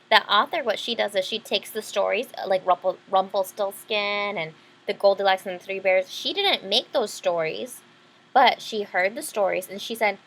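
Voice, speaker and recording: A woman's voice: medium pitch, a bit bright, rough recording. A woman teacher doing a storybook read-aloud for young children, explaining things simply and checking in with questions.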